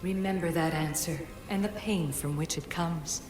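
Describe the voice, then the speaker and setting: Game casting: ancient oracle voiced by an actress, solemn and commanding, lowish female voice, echoing. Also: moderate pace, over music, close to an everyday voice. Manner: formal, commanding, cryptic. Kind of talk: video game voice acting. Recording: echoing voice, faint background noise.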